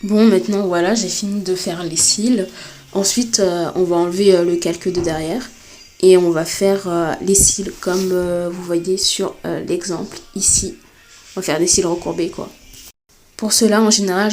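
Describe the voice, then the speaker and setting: A woman with a cold giving a step-by-step drawing tutorial, talking hesitantly with many filler pauses. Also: fairly low pitch, fairly even intonation, a little mellow. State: has a cold. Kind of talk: screen-recorded step-by-step tutorial.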